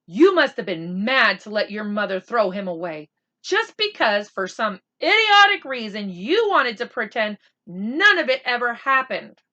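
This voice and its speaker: An indignant young boy from a children's storybook, acted by a woman reader, exasperated and emphatic. The pitch is high and swings widely, on a slightly dull recording. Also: big pitch swings, a little slow, sharp tone, full character voice. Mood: furious. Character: angry, indignant young boy accusing his friend. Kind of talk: children's storybook read-aloud.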